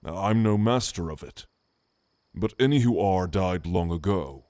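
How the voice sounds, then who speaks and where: A man voicing a calm, scholarly orc shaman and gentle mentor, speaking in a soothing, measured, formal way in an ASMR roleplay. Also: low-pitched, no echo. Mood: earnest, helpful.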